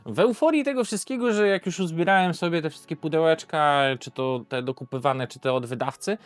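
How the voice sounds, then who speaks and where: Higher-voiced Polish man reviewing, music behind. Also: clean tone, dry recording. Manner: hesitant, often self-correcting with pauses. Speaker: board game reviewer presenting to viewers. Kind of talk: informal unscripted video review.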